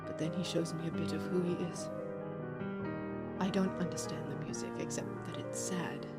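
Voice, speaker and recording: An actress playing a heartbroken, anxious young woman in an audio drama, speaking intimately and deliberately, as if confessing. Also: low voice, fairly even intonation, loud music behind the voice, natural voice. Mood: wistful, confessional. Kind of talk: audio drama monologue and dialogue.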